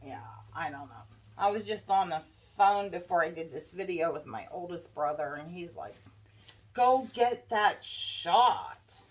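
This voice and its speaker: Stuffy-nosed woman, chatty craft vlogger, slow and fretful, mic set back, faint hiss. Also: fairly low pitch, animated. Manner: chatty, worried, addresses viewers as guys. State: congested, stuffy nose. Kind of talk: casual chat while crafting. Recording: distant mic with faint background noise.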